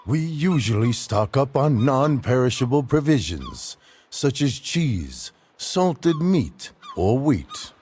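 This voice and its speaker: A man's voice: medium pitch, sing-song, takes it slowly, a little mellow, slightly rough, heavily acted. An actor playing a wary, plain-spoken ship's captain and worried father in a video game cutscene. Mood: matter-of-fact.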